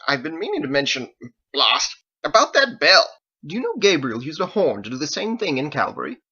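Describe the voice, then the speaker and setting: A man's voice: a little high, wildly swooping pitch, a little fast, bright, forceful tone, husky, lo-fi sound, pitched above the performer's own voice, heavily acted. A man voicing a pompous, verbose, prim young paladin churchman in an audiobook, with florid, fussy, over-formal diction. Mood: self-conscious. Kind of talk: audiobook character voice.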